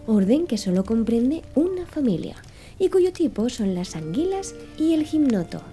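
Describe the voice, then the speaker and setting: An earnest, pedantic man of an audiobook novel , voiced by a woman, explains things with careful precision. The tone is very dark and gentle, with lively intonation and faint music behind it. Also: fairly high pitch, moderate pace. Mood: didactic, patient. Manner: didactic, precise. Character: Conseil, earnest, pedantic man reciting fish classifications. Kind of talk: audiobook character dialogue.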